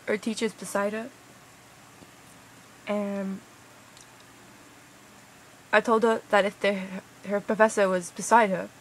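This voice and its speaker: A woman's voice: mid-range voice, flat delivery, slow pace, long pauses, a little hiss or hum, low-quality recording. A young woman from Canada rambles casually in a put-on British accent, sounding tired, with crude slang and a hesitant manner.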